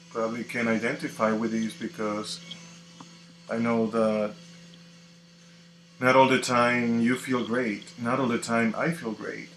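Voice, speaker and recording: A man from the Dominican Republic with a Dominican Spanish accent, a native Spanish speaker, hosting a live tarot reading in a slow, reflective, casual way. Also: lowish voice. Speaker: tarot reader. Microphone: computer mic on a live stream. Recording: echoey room with faint noise.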